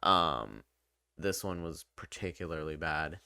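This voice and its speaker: Man, low-pitched, bright clean voice, rambling casual review commentary, conversational, dry close sound. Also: animated, unhurried, vocal fry, smooth, dry recording. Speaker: video reviewer.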